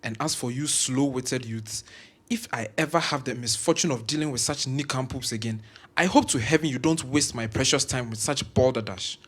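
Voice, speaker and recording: An actor with a Ghanaian accent playing an arrogant senior medical professor who scolds in grand, wordy language. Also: male voice, mid-range voice, moderate pace, fairly bright tone, close to an everyday voice. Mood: contemptuous. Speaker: voice actor in an audio drama. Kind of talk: scripted audio drama acting.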